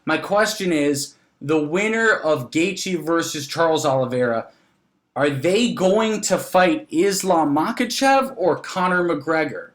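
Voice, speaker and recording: A man with a fairly high voice works as a podcast host. He talks about sports in a casual, chatty way, with an enthusiastic manner and a habit of repeating phrases for emphasis. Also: animated, unhurried, a bit bright.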